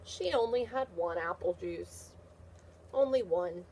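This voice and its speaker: Animated teacher, a woman reading to children. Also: lowish voice, a little slow, slight background noise. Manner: animated, repeats questions and answers in a teacherly way; encouraging, praising the listener. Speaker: teacher reading a picture book aloud to a class. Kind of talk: read-aloud for young children with comprehension questions.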